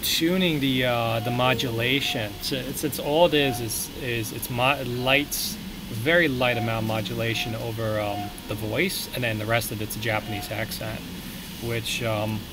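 A young man talks his way through his work on a live stream, his speech loose and full of fillers as he thinks aloud. His voice sits at an ordinary middle pitch. A clear hum of air conditioning runs under him in a small home room. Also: steady tone, normal speed. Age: young adult. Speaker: streamer modeling a prop. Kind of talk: casual live-stream commentary while working.

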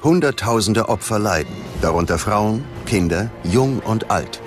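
A man's voice: on the low side, animated, unhurried. A man narrating a scripted documentary in a grave, dramatic manner. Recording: music bed behind the voice. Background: background music.

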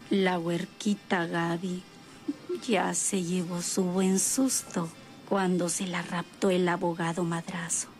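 Slow, creaky, scheming villainess. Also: female voice, on the low side, darkish tone. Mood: gloating. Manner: slow, gloating, breathy asides. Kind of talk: radionovela acting, soliloquy.

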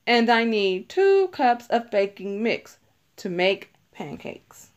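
A woman reading a children's picture book aloud, deliberately, stressing key words as she teaches. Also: fairly high pitch, very animated, slight background noise. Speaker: read-aloud narrator.

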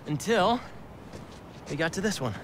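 An actor playing an eager, warm young man reuniting with his best friend in a video game cutscene. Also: sits high, expressive, measured pace, noisy background. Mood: nostalgic. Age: young adult. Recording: game audio with music behind.

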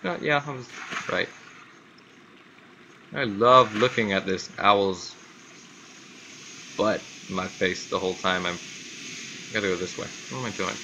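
A man's voice: on the low side, unhurried, long pauses, pushed and bright, a little hiss or hum, poor audio. A man hosting a gaming video with casual, offhand commentary on what he is playing.

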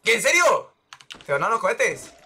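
A young man on a headset, excitedly calling out plays while gaming with a teammate, repeating words rapidly. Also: sits high, breathy edge. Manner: rapid repeated callouts, cheering. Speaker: gaming streamer. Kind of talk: excited co-op gameplay commentary. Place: indoors at a computer.

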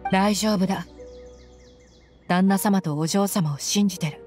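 An actress playing a loyal, frank young mercenary bodyguard in a game, speaking in a firm, plain-spoken, tomboyish way. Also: female voice, medium pitch, unhurried, a little mellow, faint music behind, natural voice. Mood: resolute. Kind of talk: Japanese game voice acting.

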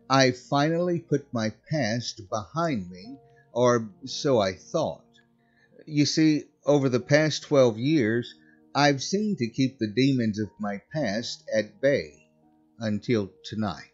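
Man, horror story narration, scripted first-person reading, mid-pitched voice, faint music behind. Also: muffled recording. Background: background music.

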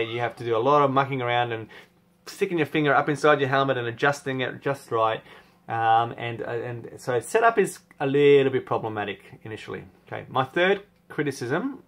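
A man in his thirties talks to a camera in a small room at home, reviewing gear in a loose, candid way. He restarts often and fills gaps with "uh" and "like." His voice sits at an ordinary middle pitch. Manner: chatty, rambling, many restarts and fillers, candid. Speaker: motorcycle vlogger reviewing gear. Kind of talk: casual product review to camera.

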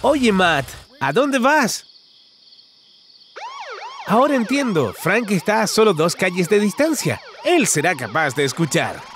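A man's voice: high voice, big pitch swings, a little slow, pauses often, a bit bright, slight background noise. A man narrating a children's cartoon in an exaggerated, singsong way, talking to the characters.

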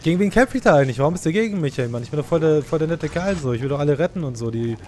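A man's voice: fairly high pitch, lively intonation, rapid-fire, warm tone, a little hiss or hum. A man giving casual, slangy gaming commentary, playfully griping and talking to the game's characters. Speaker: let's player.

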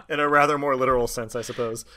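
A man running a tabletop game as game master, narrating and bantering off the cuff with hesitant, deliberate descriptive phrasing. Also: fairly high pitch.

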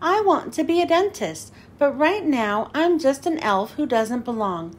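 High, wistful boy elf, read by a woman. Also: big pitch swings, a little slow, a little hiss or hum. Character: wistful young male misfit elf.

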